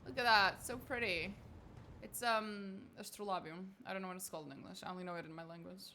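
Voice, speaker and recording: A woman's voice: medium pitch, steady tone, fast talker, sharp tone, a little hiss or hum. Chatty woman streaming a game. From Portugal. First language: Portuguese. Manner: chatty, swears casually, talks to chat. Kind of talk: casual gaming livestream chat.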